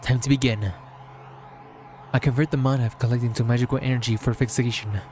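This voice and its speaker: A man reads a visual novel aloud as dramatic first-person narration, measured and solemn, in short deliberate phrases. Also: mid-range voice, fairly even intonation, a little mellow. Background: background music.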